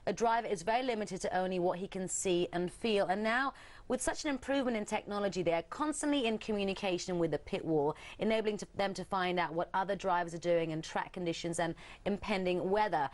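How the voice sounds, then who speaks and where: A woman's voice: mid-range voice, fairly quick. A woman presenting a sports feature in a scripted broadcast style. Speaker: studio presenter.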